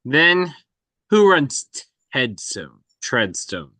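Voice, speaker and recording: A man acts out a cold assassin on the run in a script read. He is terse and demanding, speaking slowly with a lively, swinging pitch. The sound is close and dry. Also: dry recording, exaggerated voice. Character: cold, relentless male assassin on the run, interrogating. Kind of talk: screenplay table read acting.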